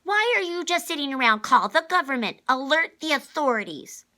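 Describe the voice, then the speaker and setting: Woman as a loud, high, sour little girl. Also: very animated, normal speed, full character voice. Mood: demanding. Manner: blunt, demanding, loud. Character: sour, rude, bossy, exasperated young girl.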